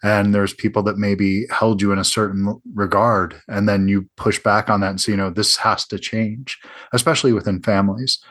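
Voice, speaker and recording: A man's voice: fairly low pitch, flat delivery, moderate pace, a bit bright. A man interviewing a filmmaker in a thoughtful, reflective way, often restarting his sentences. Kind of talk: conversational interview.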